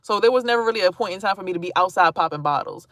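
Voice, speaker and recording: A woman's voice: medium pitch, racing, no echo, clean studio sound. Blunt chatty woman in her thirties. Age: 30s. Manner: blunt, animated, swearing freely, chatty asides to viewers. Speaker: vlogger streaming to viewers. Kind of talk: casual live-stream talk.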